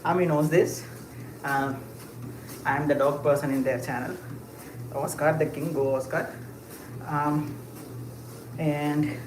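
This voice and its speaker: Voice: medium pitch, a little mellow. Rambling livestreamer in an echoey space. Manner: rambling, musing aloud with frequent fillers and short laughs; talks while walking. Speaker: livestreamer answering chat. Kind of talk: casual live chat. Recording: echoey space with clear ambient noise.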